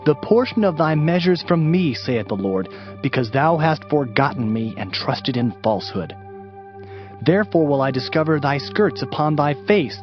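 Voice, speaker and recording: An actor playing a grave, lamenting Hebrew prophet in a solemn, declamatory dramatized Bible reading. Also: male voice, medium pitch, lightly acted. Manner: solemn, declamatory, archaic phrasing. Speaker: dramatized scripture reader. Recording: dull audiobook sound with music underneath.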